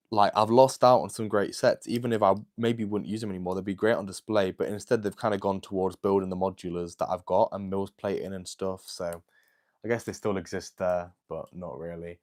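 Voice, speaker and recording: A man's voice: sits low, steady tone, moderate pace, a little mellow. An eighteen-year-old man hosting a casual livestream, chatting and answering viewer comments with lots of "like" and "um". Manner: chatty and rambling, with many "like" and "um" fillers.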